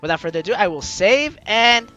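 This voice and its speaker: A man's voice: high-pitched, animated, fairly quick, sharp tone, dull audio. A man hosting a gaming video, reading game narration aloud and chatting casually with playful asides. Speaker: gaming video host, let's player. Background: game music.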